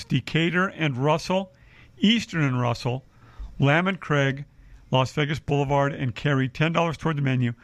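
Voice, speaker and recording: A man's voice: mid-range voice, no echo. A man hosting a live radio shopping show, reading deals and taking calls, with lots of uh fillers. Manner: chatty, many uh fillers. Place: radio studio.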